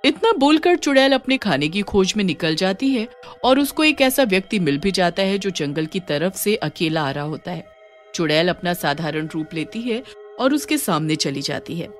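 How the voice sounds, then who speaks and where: A woman's voice: lowish voice, very animated, normal speed. Woman narrating a horror story over music. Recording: music under the voice.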